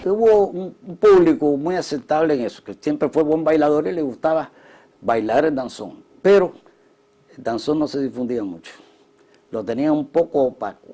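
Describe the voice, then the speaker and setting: Mellow-voiced Cuban man reminiscing. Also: fairly high pitch, animated, moderate pace. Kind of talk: interview recollection of music history.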